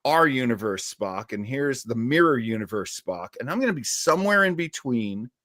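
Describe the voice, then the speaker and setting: A man hosting a casual podcast review discussion, talking in a thoughtful, halting way. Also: medium pitch, sing-song, fairly bright tone, no room sound, studio quality. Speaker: podcast host.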